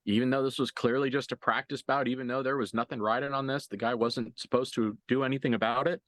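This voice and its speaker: A man improvising narration as a game master for a horror tabletop game, conversational and halting, with graphic detail. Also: medium pitch, steady tone, a bit bright, no room sound.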